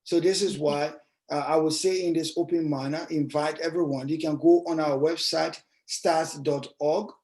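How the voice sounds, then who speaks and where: A man on a panel speaks in a somewhat high voice. He is slow and measured and sometimes pauses to find his words. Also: fairly even intonation, darkish tone. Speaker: trauma service worker. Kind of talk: panel discussion talk.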